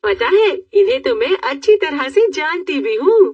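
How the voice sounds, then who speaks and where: A woman's voice: high voice, sing-song, moderate pace, no echo. A woman playing a bossy, persuasive adult sister in a Hindi cartoon dub, with an insistent manner. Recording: dull, distant audio with background music.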